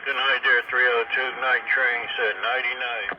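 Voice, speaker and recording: A man's voice: mid-range voice, level tone, moderate pace. A man chatting in a folksy, teasing way over a two-way radio. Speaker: radio operator. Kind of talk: casual two-way radio chat. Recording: muffled noisy two-way radio. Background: radio static.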